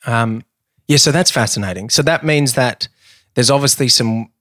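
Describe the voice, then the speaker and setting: A man's voice: medium pitch, normal speed, fairly bright tone, dry recording. Male host, rambling and jokey, close dry sound. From Australia. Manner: rambling, playful, laughs easily. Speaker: podcast host. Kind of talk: casual podcast chat.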